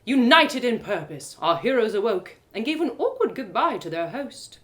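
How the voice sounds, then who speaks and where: Theatrical woman storyteller, very lively intonation. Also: a little high, normal speed. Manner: theatrical, expressive. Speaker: storyteller and host. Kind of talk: dramatic first-person fantasy narration.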